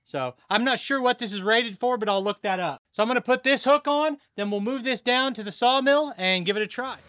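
A man in his thirties talks through a farm project in a chatty, step-by-step way. His voice sits high and sounds bright and forceful. The sound is dry and close, with no room echo. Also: brisk. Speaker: farm vlogger. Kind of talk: casual how-to vlog. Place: outdoors at a farm, by a garage and a pond.

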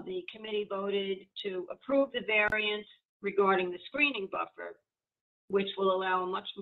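A woman reporting a committee's findings at a public board meeting, pausing often with fillers and restarts. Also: medium pitch, vocal fry. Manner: halting, many fillers and restarts. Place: home, video call. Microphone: video call. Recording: tinny phone-like video call audio.

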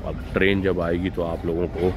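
A man's voice: deep voice, level tone. A man in his forties narrating a casual travel vlog, chatting directly to viewers from railway platforms and inside a train coach, recorded on a phone held at arm's length. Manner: chatty, addresses viewers directly, unhurried commentary. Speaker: travel vlogger. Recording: loud station and train noise behind.

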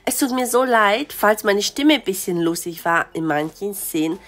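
Hoarse woman host with a cold. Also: mid-range voice, lively intonation, moderate pace, a bit bright. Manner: chatty, grateful. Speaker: channel host, storyteller. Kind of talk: friendly outro to viewers.

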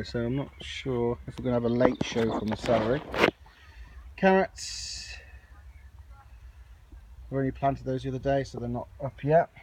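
A man's voice: mid-range voice, a little mellow. A man giving a casual, chatty off-the-cuff video tour as an amateur gardening vlogger, outdoors on a handheld camera. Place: outdoors on an allotment. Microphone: handheld camera or phone. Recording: clearly noisy outdoor handheld recording.

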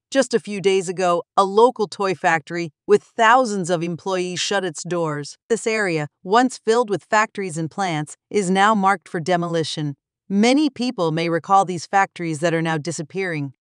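A woman delivering scripted documentary narration in an even, explanatory newsreader manner, recorded close as a voice-over. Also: lowish voice, smooth, no echo. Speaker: narrator of a news channel.

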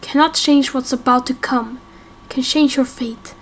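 A grave leader of an underground people, played by a woman, in a game roleplay. The voice is high and slow, with a solemn, fatalistic manner. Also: fairly even intonation.

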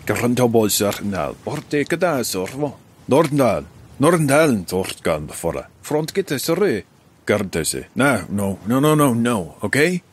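An actor playing a wary nomad man who speaks a strange made-up language, with a halting, hesitant delivery. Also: medium pitch, sing-song, slight background noise. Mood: wary, questioning. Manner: halting foreign-sounding gibberish, hesitant.

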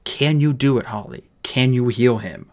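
A man's voice: mid-range voice, normal speed, close to an everyday voice. A man voicing a clever human boy in an audiobook, speaking formally and earnestly with urgent persuasion. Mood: pleading.

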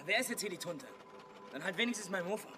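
A man's voice: high voice, expressive, quick pace, music in the background. An actor playing a cocky, hot-headed teenage boy in a German audio drama, brash and goading. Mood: aggressive, goading.

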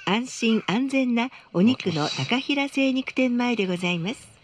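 A woman's voice: on the high side, animated, a little slow, a little hiss or hum. A woman reading scripted advertising announcements for a bus, politely and formally.

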